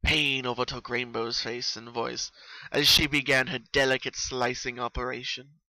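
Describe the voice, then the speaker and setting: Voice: medium pitch, level tone, normal speed, pushed and bright, dry recording, low-quality recording. A narrator giving an intense, theatrical dramatic reading of a horror story.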